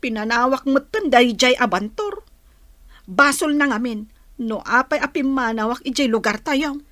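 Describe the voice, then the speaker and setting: A woman's voice: high-pitched, expressive, moderate pace, a bit bright, no room sound, studio quality. A woman acting a distressed young pregnant wife in an Ilocano radio drama. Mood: dejected.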